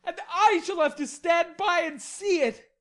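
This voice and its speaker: A woman's voice: very high-pitched, measured pace, pitched above the performer's own voice. A woman voicing a lovelorn, hot-headed young man in an audiobook, impassioned, insistent and pleading. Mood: bitter, despairing. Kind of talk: audiobook character voice.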